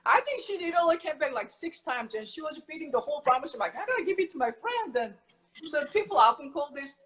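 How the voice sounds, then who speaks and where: A middle-aged woman from Korea talks through how she makes kimchi in a relaxed chat. Her voice sits a little high, and she gets lively as she explains with everyday comparisons. She sounds like a teacher sharing something she loves. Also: fast talker, poor audio. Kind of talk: casual cooking chat.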